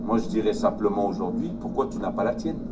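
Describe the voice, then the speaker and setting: A man's voice: on the low side, lively intonation, fast, a little mellow. A man explaining his view in a casual, hesitant way with many fillers. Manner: conversational, hesitant, lots of fillers. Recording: distant mic with ambient noise.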